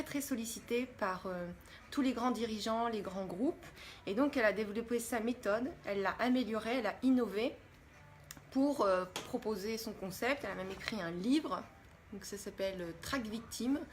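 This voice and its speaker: A woman's voice: fairly high pitch, normal speed. A woman hosting a casual live-stream talk, chatty and greeting her viewers. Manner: chatty, warm greetings to viewers, many fillers. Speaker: host. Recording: faint background noise.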